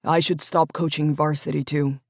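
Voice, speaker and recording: Lady doing a guilty young guy, subdued and regretful, low-ish voice, dry. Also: little pitch movement, normal speed, dry recording. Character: troubled young adult man, a guilty football coach. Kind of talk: audiobook character voice.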